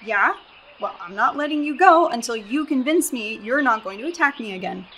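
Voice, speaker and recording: A woman's voice: on the high side, animated, normal speed, bright, forceful tone, slight background noise. A young woman with an American accent in a radio play, acting a sarcastic, exasperated hiker. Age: young adult. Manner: sarcastic, incredulous.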